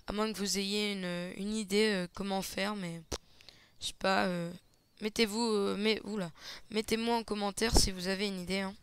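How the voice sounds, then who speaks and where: A man giving casual, hesitant play-by-play commentary over a shooter match, slightly hoarse, with lots of fillers. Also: high voice, fairly even intonation, moderate pace, a bit bright. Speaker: gaming channel host. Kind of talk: gameplay commentary.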